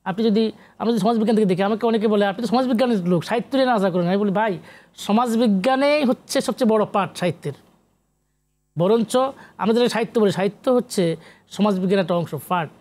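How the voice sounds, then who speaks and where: Fast, high-pitched Bangladeshi man, emphatic. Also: darkish tone. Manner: emphatic, lecturing, mixes in English academic terms. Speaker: guest, professor and literary researcher. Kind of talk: animated TV interview discussion.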